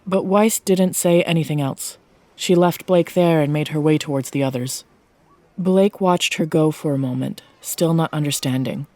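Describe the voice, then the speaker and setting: A woman's voice: low voice, normal speed, warm tone, no echo. A woman giving a scripted narration of a fiction story as its narrator, close to the microphone.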